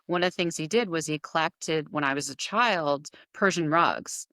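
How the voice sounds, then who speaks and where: A woman's voice: sits low, moderate pace, a bit bright, no echo, studio quality. A woman hosting a podcast interview, asking enthusiastic, rambling questions. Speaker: podcast host. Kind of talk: conversational interview.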